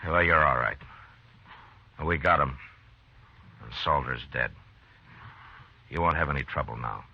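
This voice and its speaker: Grave American frontier marshal for radio western. Rock-bottom pitch, gravel, understated, unhurried delivery. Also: male voice, full character voice. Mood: calm, reassuring. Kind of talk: 1950s western radio drama acting. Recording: dull vintage radio recording.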